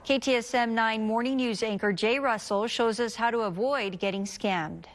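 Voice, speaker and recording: A woman's voice: a little high, moderate pace. A woman presenting news, reading a scripted introduction to a report. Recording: clear background noise.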